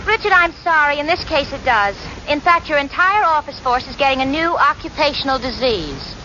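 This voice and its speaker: Wry, level-headed working girl, high forceful voice, crisp, 1940s radio sitcom, muffled slightly noisy recording. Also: expressive, fairly quick. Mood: wry, firm. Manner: crisp, dry, wisecracking. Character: sensible, wry working-girl secretary and roommate. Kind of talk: 1940s radio situation comedy acting and narration.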